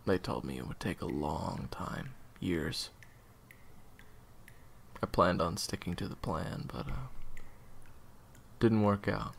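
An evasive younger brother turned traitor in an audio drama, acted by a man. His low voice is gravelly and swings widely in pitch, though his answers come terse and halting. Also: expressive, a little hiss or hum, heavily acted. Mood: reluctant, confessional. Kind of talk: audio roleplay drama acting.

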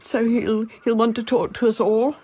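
An actress playing an elderly titled lady, imperious, anxious and husky, in a radio drama. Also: on the high side, fairly even intonation, moderate pace, dark tone, slight background noise, natural voice. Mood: anxious, firm.

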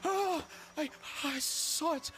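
A timid game scholar in a panic. The voice is high and halting, stammering over noisy ambience. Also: female voice. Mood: terrified. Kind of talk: scripted video game cutscene dialogue.

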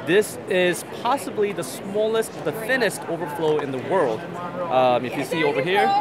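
A man in his thirties hosting an aquarium channel, walking through a trade show and showing products with eager, self-deprecating chatter. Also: a little high. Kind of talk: trade show walkthrough and interviews. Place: busy indoor expo hall. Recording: crowd chatter from a busy hall.

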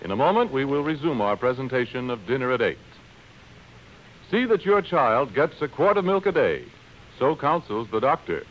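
A man announcing in scripted 1930s radio style, presenting a drama and pitching for its sponsor. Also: big pitch swings, moderate pace. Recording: muffled 1930s radio broadcast, music.

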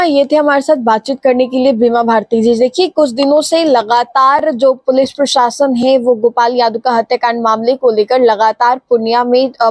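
A woman's voice: fairly high pitch, a little mellow, no room sound. A woman in her twenties with an Indian accent, working as a news reporter and interviewer with a handheld microphone. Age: 20s. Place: living room, indoors.

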